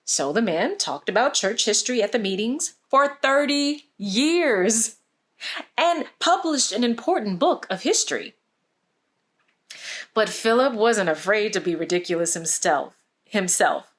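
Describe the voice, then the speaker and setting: A woman reading a storybook aloud for children, with expressive, deliberate phrasing. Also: medium pitch. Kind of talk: scripted storybook narration for children.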